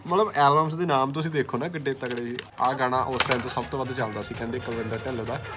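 A man's voice: medium pitch, fairly even intonation, rapid-fire, over loud music. A young man with a Punjabi accent chatting informally as he vlogs, mixing in English words. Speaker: vlogger showing a collection. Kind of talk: casual chat while showing items to camera. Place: small indoor room.

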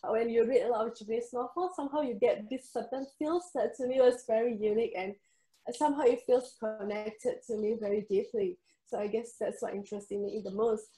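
A woman's voice: fairly high pitch, steady tone, brisk. A young woman with an Indonesian accent, a novelist answering interview questions thoughtfully over a video call. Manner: soft, thoughtful, frequent ums and laughs. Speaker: novelist guest. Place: indoors room at home. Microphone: headphones on video call. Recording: echoey video call audio.

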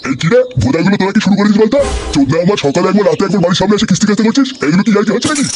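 A man's voice: high-pitched, expressive, racing, a little mellow, faint music behind. A man voicing a combative, foul-mouthed old grandfather who scolds and blusters in a crude comedy cartoon. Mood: angry, defiant.

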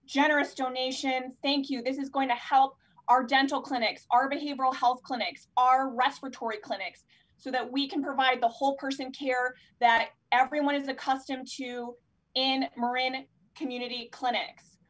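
A woman's voice: sits high, steady tone, moderate pace, a bit bright, poor audio. A young woman hosting a live fundraiser over video call, effusively thanking donors by turn. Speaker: fundraising host. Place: indoors, plain room at home. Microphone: handheld mic on video call.

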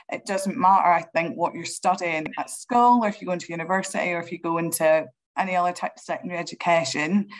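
A woman in her thirties with a Northern Irish accent, speaking fluently and encouragingly as a panelist on a video call. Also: lowish voice. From Northern Ireland. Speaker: radio panelist. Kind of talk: conversational answer on a panel.

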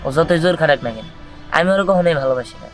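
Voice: medium pitch, fast, soft background music, lightly acted. An actor playing a protective, defiant young man in love in a cartoon drama. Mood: reassuring.

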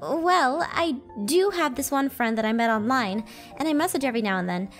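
A woman voicing a shy, excitable high school freshman girl with a girlish, giggly, hesitant delivery in an animated roleplay. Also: normal speed, faint music behind. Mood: awkward, casual.

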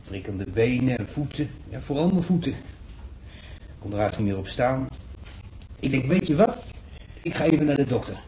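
Radio play role: grumbling small trader played by a man, colloquial and hesitant, average pitch, slightly noisy recording. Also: lively intonation, dark tone, natural voice. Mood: weary, grumbling. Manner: colloquial, halting, plaintive. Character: grumbling, folksy male market trader in hospital. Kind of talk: Dutch radio drama acting.

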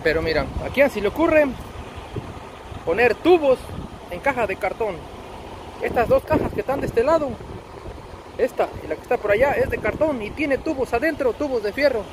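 A Mexican man with a high-pitched voice talks in a casual vlog style. He is chummy and slangy, like a trucker addressing his pals. Clear wind and engine noise sits behind his words. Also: expressive, moderate pace, pauses often. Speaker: truck driver and vlogger. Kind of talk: casual vlog narration to camera.

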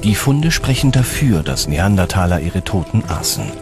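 Male documentary narrator, lower register, measured dramatic storytelling, clear music bed. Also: big pitch swings. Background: background music.

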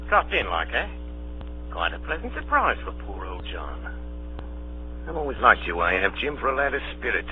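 An actor playing a sly older pirate ringleader who wheedles and bullies in salty sailor talk, in old radio drama style. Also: male voice, lowish voice. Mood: sly, mock-friendly. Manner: wheedling then bullying, salty sailor idiom. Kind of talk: 1930s radio drama acting. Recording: dull old radio broadcast, music, hum.

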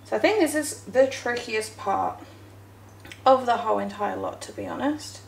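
A woman's voice: on the high side, normal speed, creaky. A woman talking casually while she bakes at home, chatting and correcting herself as she goes. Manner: chatty, self-correcting, talks while working with hands. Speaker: home cooking vlogger. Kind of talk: casual baking walkthrough. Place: home kitchen, echoey. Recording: echoey kitchen with faint noise.

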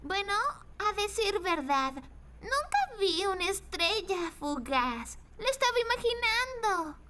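A woman voicing a sweet, upbeat little girl in a cartoon, bubbly, expressive and polite. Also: very high voice, a little slow, background noise. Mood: puzzled. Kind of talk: animated cartoon dub acting. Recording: loud music behind the voice.

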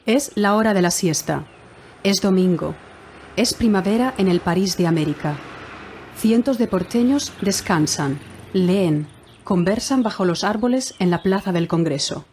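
A woman narrating a Spanish learners' audiobook in a clear, measured reading style. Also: medium pitch, lively intonation, darkish tone, a little hiss or hum.

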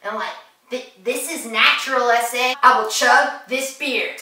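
A woman's voice: a little high, unhurried, sharp tone. A woman playing a cocky young man, a swaggering, slangy reality-show contestant, in an echoey room. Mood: indignant, loud. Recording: echoey room, distant mic.

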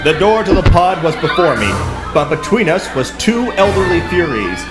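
A man's voice: sits high, sing-song, normal speed. An actor playing a pompous, violent macho adventurer, narrating his exploits melodramatically in a pulp radio drama. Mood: battle frenzy. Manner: bombastic, melodramatic. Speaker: narrating hero. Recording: loud music and crowd noise behind. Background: loud music and crowd.

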